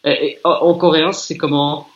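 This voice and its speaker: A man from France chatting in a relaxed, talkative way with a language learner over a video call. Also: a little high, normal speed, dull audio. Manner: relaxed, chatty, with some restarts. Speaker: conversation partner. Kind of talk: casual conversation.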